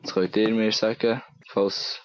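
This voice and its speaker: A young man with a Bernese Swiss German accent and a somewhat low voice talks through a game session. He rambles and mocks himself, sounding a bit congested from a cold. A clear background hiss runs under the home recording. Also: flat delivery, unhurried, muffled recording. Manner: rambling, self-mocking, thinking aloud. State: has a cold. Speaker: let's play gamer. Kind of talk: casual gameplay commentary.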